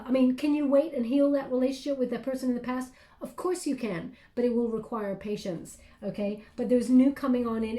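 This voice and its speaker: A woman's voice: a little high, dark tone, echoey room. A woman giving a chatty tarot card reading at a table indoors, her voice scratchy from illness, often adding "okay?". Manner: chatty, reassuring, tag questions like okay. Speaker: tarot reader.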